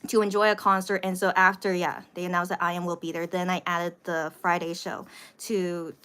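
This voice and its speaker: An American woman hosting a casual livestream, rambling to viewers and repeating herself with animated emphasis, sounding somewhat tired. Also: fairly high pitch. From United States. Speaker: livestream host, vlogger.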